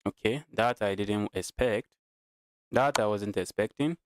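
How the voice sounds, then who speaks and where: A man's voice: on the low side, unhurried, no echo. Nigerian man, dry close sound, thinking aloud. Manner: repeats phrases, chatting with viewers. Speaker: chess streamer. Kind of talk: casual live game commentary, thinking aloud.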